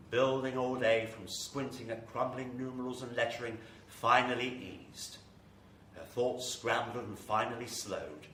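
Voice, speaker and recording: An ominous horror storyteller, played by a man, with a bright, forceful voice. He narrates theatrically and suspensefully in an echoey live space, a little away from the mic. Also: mid-range voice, lightly acted. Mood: calm, descriptive. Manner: theatrical, suspenseful, building to intensity. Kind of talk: scripted dramatic horror narration. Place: live performance venue with audience. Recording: echoey live hall, distant mic, faint noise.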